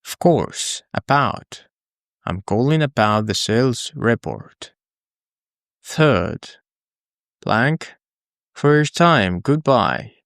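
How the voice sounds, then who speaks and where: A man who is a native Arabic speaker, teaching English in a scripted, deliberate, instructional lesson style. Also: mid-range voice, animated, gravelly, a bit bright, no room sound, studio quality. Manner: deliberate, instructional, with pauses for the learner. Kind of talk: scripted language lesson, repeat-after-me drills.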